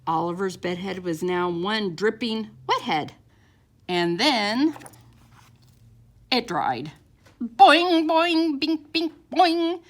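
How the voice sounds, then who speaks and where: A woman reading a picture book aloud to young children in a theatrical, expressive storytime style with drawn-out words and sound effects. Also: on the high side.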